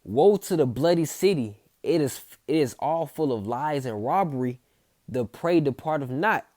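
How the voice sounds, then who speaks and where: A man's voice: fairly high pitch, expressive, normal speed, darkish tone, no room sound, studio quality. A man, preaching informally from scripture, repeating himself and tagging lines with "man" and "okay". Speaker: street preacher.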